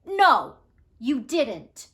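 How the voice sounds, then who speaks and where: A woman's voice: high-pitched, very animated, extremely slow, a bit bright, full character voice. A woman voicing a blunt, exasperated female vulture in a storybook, speaking emphatically. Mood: hurt, indignant. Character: blunt, easily fed-up female vulture friend.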